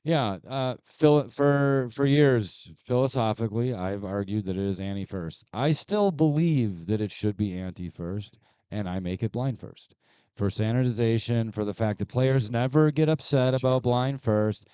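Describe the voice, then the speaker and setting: A man's voice: mid-range voice, a little slow, mellow, clear, resonant tone, dry recording. A man who runs tournaments, speaking on a panel in a candid, argumentative way. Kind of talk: panel discussion.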